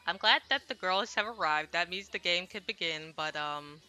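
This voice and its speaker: Bright, forceful woman streamer chatting. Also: fairly low pitch, normal speed, clean tone, soft background music. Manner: rambling, self-deprecating, apologetic. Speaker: streamer translating a Japanese visual novel. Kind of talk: casual livestream chat. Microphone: headset.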